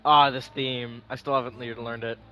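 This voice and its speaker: A man's voice: mid-range voice, flat delivery, normal speed, pushed and bright. Casual, rambling male game streamer. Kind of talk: casual playthrough commentary. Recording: faint music behind the voice. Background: game music.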